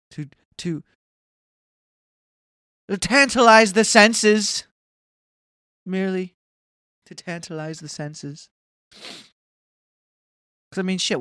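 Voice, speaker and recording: A man's voice: mid-range voice, a bit bright, smooth, no room sound, clean studio sound. A man in his twenties ranting casually to camera, swearing often and wandering between mocking asides. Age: 20s. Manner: profane, rambling, swears often. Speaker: vlogger.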